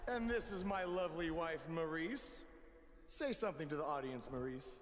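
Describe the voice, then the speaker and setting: A man's voice: fairly high pitch, expressive, a crowd in the background, natural voice. An actor playing a cocky, mocking male pro wrestler, theatrical and taunting, in a video game cutscene. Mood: mocking, showy. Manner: theatrical, taunting, drawn-out emphasis. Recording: echoing arena sound, slight noise.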